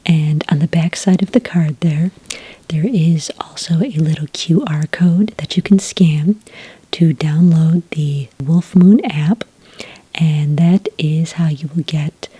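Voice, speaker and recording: A woman speaks in a soft, breathy whisper, playing a friendly clerk in an ASMR roleplay. Her voice is low and mellow, recorded very dry. She explains each step in a gentle, unhurried customer-service manner. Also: no room sound. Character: friendly female registration clerk for werewolf hunters. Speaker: ASMR roleplayer as a licensing associate.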